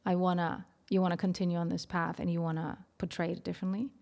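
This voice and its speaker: Gravelly, lowish-voiced woman host. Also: level tone, fast talker, dark tone. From Iceland. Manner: chatty, heavy use of "like". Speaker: podcast host. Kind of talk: casual interview conversation.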